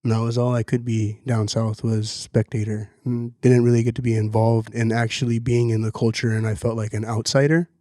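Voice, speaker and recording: A man's voice: medium pitch, flat delivery, brisk, few pauses, warm tone, no echo, clean studio sound. A man in his thirties from Washington state, telling personal stories in an earnest, reflective way. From Washington state, United States. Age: 30s. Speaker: community food security worker. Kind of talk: personal storytelling in conversation.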